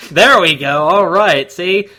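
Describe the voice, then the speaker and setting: A man's voice: sits high, slow pace, sharp tone. A man co-hosting with casual, humorous commentary, dropping in wry, jokey interjections. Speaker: co-host commenting on the reading.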